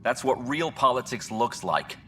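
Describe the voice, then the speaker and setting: An actor playing a self-serving, sneering colonial official in a video game, defensive and indignant. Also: male voice, medium pitch, animated, a little slow, slight background noise. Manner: sharp, indignant.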